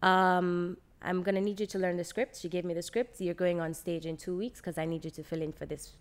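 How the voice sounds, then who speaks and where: A woman's voice: fairly low pitch, a little fast. A young adult woman with a Jamaican accent, telling stories casually and acting out dialogue as she chats into a close podcast mic. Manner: animated, acts out quoted dialogue. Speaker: podcast guest, actress. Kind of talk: casual conversational storytelling. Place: open, bright living room, on a sofa. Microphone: podcast microphone on boom arm, close.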